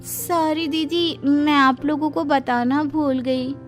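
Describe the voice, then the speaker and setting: A woman's voice: sits high, fairly even intonation, takes it slowly, soft background music. A woman voicing a selfish, whiny young newlywed daughter-in-law in a cartoon story, with dramatic complaining delivery. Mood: sheepish. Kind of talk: Hindi cartoon story dialogue.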